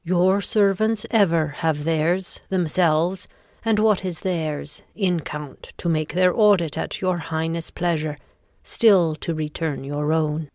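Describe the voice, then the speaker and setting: A woman playing a ruthless, ambitious noblewoman in a deliberate, intense Shakespearean audiobook performance. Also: low voice, darkish tone, no room sound, clean studio sound. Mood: courteous. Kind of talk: dramatic Shakespearean audiobook reading.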